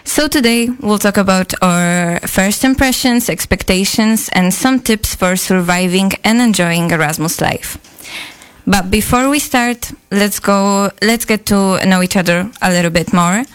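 A woman presents a talk show as its host. Her voice is clean and smooth at a middle pitch, and she shifts between prepared lines and casual chat. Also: measured pace. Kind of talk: scripted introduction and chatty hosting.

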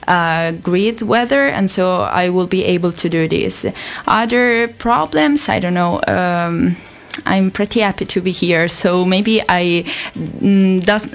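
A young woman from Italy with an Italian accent, an exchange student speaking halting English with many pauses and fillers. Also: mid-range voice. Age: young adult.

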